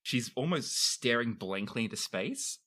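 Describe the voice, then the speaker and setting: A tabletop game master with a bright, forceful voice. The pitch swings around in a very animated way, moving between improvised banter and scene narration. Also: unhurried. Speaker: game master and player in a tabletop role-playing show.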